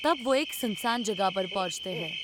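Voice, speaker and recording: Dramatic woman narrator with swooping pitch. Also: animated, normal speed. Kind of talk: scripted horror story narration. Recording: loud music bed behind the voice.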